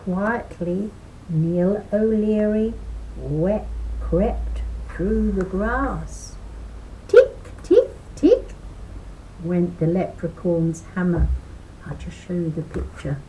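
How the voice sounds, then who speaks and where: A woman in her sixties or older reading a children's story aloud expressively, with deliberate phrasing and dramatic emphasis, at home on a computer microphone. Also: on the low side, sing-song, warm tone, slight background noise, poor audio. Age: 60s or older. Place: living room at home beside window. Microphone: webcam or laptop microphone.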